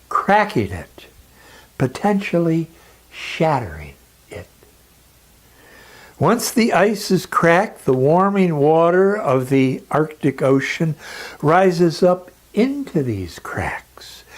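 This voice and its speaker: A man reads a scripted educational talk like a lecturer. His delivery is very slow and deliberate, with dramatic pauses. His pitch swings widely as he stresses key words emphatically.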